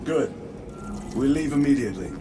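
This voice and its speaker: A stoic male veteran commander from a sci-fi game, played by an actor, with a mid-pitched voice. He is terse, grave and authoritative, with loud music behind him. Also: expressive, normal speed, natural voice. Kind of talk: dramatic video game acting.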